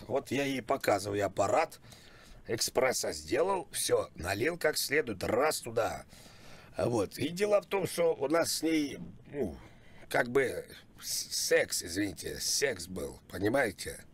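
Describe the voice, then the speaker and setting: Man putting on a husky, rambling older guy. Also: mid-range voice, very animated, a little slow, exaggerated voice. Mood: earnest, rambling. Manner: rambling, repetitive, mock-apologetic, oversharing. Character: crude, rambling older man, shameless coffee-machine customer. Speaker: prank caller. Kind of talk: prank phone call, improvised.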